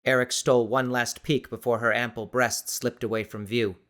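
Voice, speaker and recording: A man reading dramatic scripted audiobook narration in a measured, suspenseful manner. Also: mid-range voice, little pitch movement, fairly bright tone.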